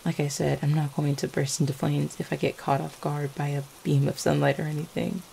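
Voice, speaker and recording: A gentle, devoted vampire girlfriend from an ASMR roleplay , acted by a woman. Her voice sits low and mellow, with a gravelly creak at the edges. She speaks tenderly and a little hesitantly, full of affection and reassurance. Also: brisk, low-quality recording. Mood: gentle, explaining. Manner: soft-hearted, hesitant, tender. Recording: faint steady rain-like background noise. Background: rain falling.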